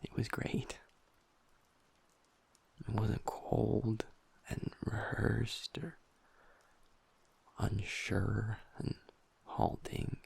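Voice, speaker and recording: A man voicing a gentle young werewolf boyfriend in an intimate audio roleplay. He talks softly and close to the mic, often half-whispering, and pauses and restarts as he confides. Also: lowish voice, level tone, gravelly, husky, full character voice. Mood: vulnerable, confiding. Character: gentle young male werewolf boyfriend, usually upbeat, now downcast.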